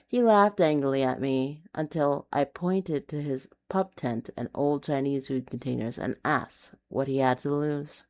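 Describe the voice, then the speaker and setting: A woman reading a noir urban fantasy story in a hushed, dramatic, deliberate way. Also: low-pitched, gravelly. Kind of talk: scripted noir confessional storytelling.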